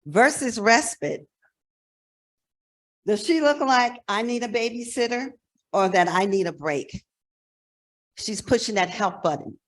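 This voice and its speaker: A woman's voice: on the high side, expressive, unhurried, pauses often. An American woman in her sixties giving a conference presentation in a frank, emphatic, conversational way. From New Jersey, United States. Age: 60s. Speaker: presenter, nonprofit executive director.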